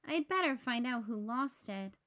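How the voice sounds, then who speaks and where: A woman's voice: fairly high pitch, animated, normal speed, fairly bright tone. A woman voicing an earnest, conscientious young boy animal in a children's storybook, with an eager, childlike manner. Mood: sheepish.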